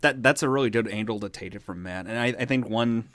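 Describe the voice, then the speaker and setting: Male co-host, lowish voice, hesitant, dry close sound. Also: quick pace, fairly bright tone, dry recording. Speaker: podcast co-host. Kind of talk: casual chat.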